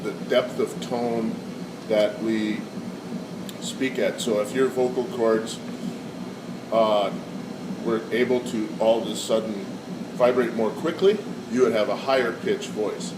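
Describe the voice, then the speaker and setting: A somewhat low-voiced man with a cold talks through a science demonstration, pausing on fillers as he explains. He sounds set back from the microphone in an echoey room. Loud air conditioning hums steadily behind him. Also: fairly even intonation, distant mic, background noise. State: has a cold. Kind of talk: casual classroom demonstration.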